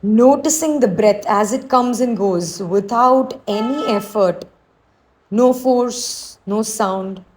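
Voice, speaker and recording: A woman teacher leading a guided meditation, speaking in a soothing, unhurried, gently instructing way. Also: mid-range voice, a little hiss or hum, low-quality recording.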